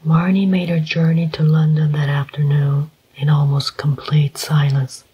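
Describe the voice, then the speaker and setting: A reader narrating a classic novel in a soft whisper, slow and even. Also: mid-range voice, breathy edge, low-quality recording. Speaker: audiobook reader. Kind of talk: whispered ASMR story reading.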